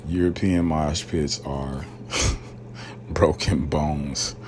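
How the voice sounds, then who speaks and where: Super deep voiced dude hosting his own podcast, laid back rambling with slang, faint noise behind. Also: steady tone, extremely slow, darkish tone. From rural Alabama, US. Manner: loose and conversational, with many fillers and slang. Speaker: podcast host. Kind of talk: casual solo podcast talk.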